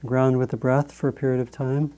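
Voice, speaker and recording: A man guiding a meditation as a teacher, speaking in a measured, gentle way with long pauses between phrases. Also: mid-range voice, flat delivery. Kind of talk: guided meditation instruction.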